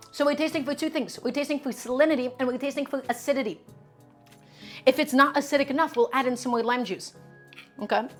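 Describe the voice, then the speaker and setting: Woman streamer, chatty cooking host, slightly high voice, soft music in the background. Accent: her own distinct accent, not Brooklyn. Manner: upbeat, talks chat through each step, says "guys" and "okay" often.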